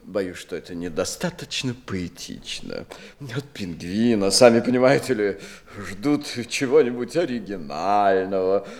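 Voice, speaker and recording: A man's voice: lowish voice, animated, a little slow. An actor playing a fussy, self-important male penguin poet in a children's audio play, speaking in a pompous, hesitant manner. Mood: fussy, pondering. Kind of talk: 1960s Russian children's audio play acting.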